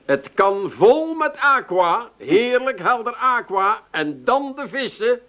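An actor playing a pompous, eccentric hobbyist in a comic radio play, declaiming with great solemnity. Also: male voice, very high-pitched, big pitch swings, slow pace, sharp tone, exaggerated voice. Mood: proud. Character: pompous, eccentric lodger obsessed with his aquarium fish.

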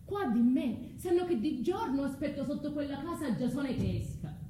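A woman's voice: sits high, animated, normal speed, warm tone. An actress with a southern Italian accent playing a bitter, vengeful abandoned foreign woman in a theatrical stage monologue. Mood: bitter, defiant. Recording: echoey room, faint background noise.

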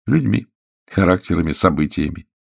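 Man reading as a low, gravelly, stubborn journalist. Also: normal speed, full character voice. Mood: earnest. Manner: calm, dry, restrained. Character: calm, stubborn male journalist, dryly ironic lover. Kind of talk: audiobook character dialogue.

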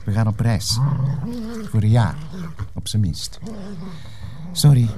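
An actor playing a courteous, gentle and hesitant Flemish police colleague in naturalistic television drama. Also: male voice, on the low side, slow pace, slight background noise. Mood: apologetic, hesitant.

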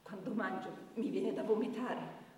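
An actress playing a wounded, bitter young woman in a halting, deliberate theatre monologue. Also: mid-range voice, a little mellow, far from the mic, close to an everyday voice. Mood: anguished, bitter. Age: young adult. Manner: halting, deliberate, repeating words, with long pauses. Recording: echoey theatre hall from afar, noisy.

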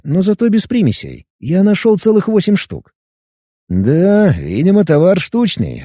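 A man reading a first-person adventure audiobook in a lively, expressive way. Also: medium pitch, no room sound, studio quality. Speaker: audiobook narrator.

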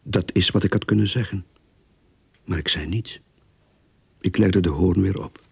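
An actor playing a wry man narrating his own crime story in a radio drama, with a husky voice. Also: low-pitched, measured pace, dry recording, exaggerated voice. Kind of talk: radio drama acting with first-person narration.

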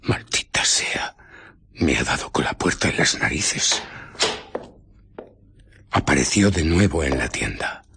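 An actor playing an embittered invisible scientist in a radio drama, recounting furtive exploits with deliberate, dramatic pauses and a breathy voice that slips into whispers. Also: male voice, extremely low pitch, lively intonation, sharp tone, a little hiss or hum, full character voice. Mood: furtive, wary. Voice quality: husky, breathy voice slipping into whispers. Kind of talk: radio drama narration and dialogue.

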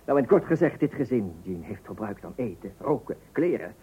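Excitable fretting husband, vintage radio. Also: male voice, mid-range voice, brisk, slightly rough. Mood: gloomy reckoning. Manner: blustering, dramatic, emphatic repetition. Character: excitable, fretting husband, theatrical about household money. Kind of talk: radio play acting. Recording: muffled narrow-band old radio recording.

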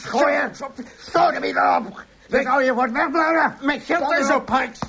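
A man's voice: very high voice, normal speed, gravelly, full character voice. An actor playing an angry, threatening man desperate for money in a radio drama. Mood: threatening, furious. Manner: aggressive, threatening, shouting.

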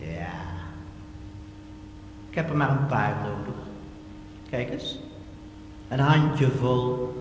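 A man's voice: mid-range voice, lively intonation, takes it slowly, pauses often. A man acting in a radio play as a defiant, threatening professor, theatrical and mockingly courteous. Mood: gloating. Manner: theatrical, taunting, mock-courteous. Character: defiant, threatening professor holding archive tapes hostage. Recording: echoey reverberant hall, faint hum.

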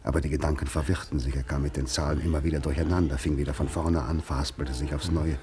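A man narrating a radio play as scripted literary storytelling, in a measured, grave manner. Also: extremely low pitch, fairly even intonation, a little mellow, slight background noise.

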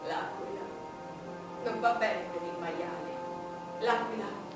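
A woman's voice: mid-range voice, slow, natural voice. An actress playing an ageing, proud, paranoid and imperious queen in a theatrical stage monologue, with dramatic declamation. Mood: lonely, bitter. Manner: dramatic declamation, swinging from hushed brooding to loud outbursts. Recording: echoey stage, distant mic, loud music behind.

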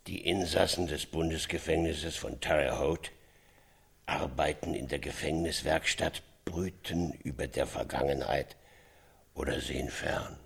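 A man's voice: very deep voice, steady tone, measured pace, vocal fry, a bit bright, husky, exaggerated voice. An actor playing a bitter male prison inmate with terse, dramatic phrasing in a radio play, over a noisy background. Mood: brooding.

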